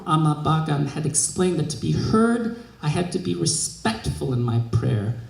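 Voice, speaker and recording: A man giving an expressive literary reading of a scripted short story. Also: a little high, normal speed, gravelly. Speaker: literary reader. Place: live reading in a museum room. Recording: echoey room.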